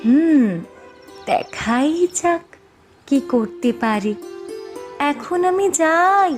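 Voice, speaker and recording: Woman as cartoon fairy helper, high voice, kindly, knowing, measured, melodic range, faint music behind. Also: lively intonation, heavily acted. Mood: reassuring, knowing. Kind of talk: fairy-tale cartoon dialogue.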